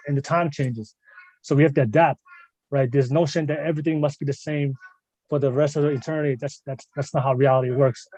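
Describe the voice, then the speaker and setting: A man hosting a live podcast, asking his guests questions in a relaxed, chatty way and often repeating himself. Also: fairly high pitch, no room sound, poor audio. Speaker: podcast host. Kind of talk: casual live podcast chat.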